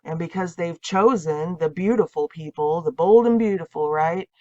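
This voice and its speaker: A woman's voice: lowish voice, moderate pace, darkish tone. A nasal, stuffy-sounding woman talks straight to her viewers in a fervent, preachy, rambling way. The sound is dull and muffled. The room is dry, with no echo at all. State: recovering from illness, congested. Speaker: online video host. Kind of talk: casual preaching monologue to camera.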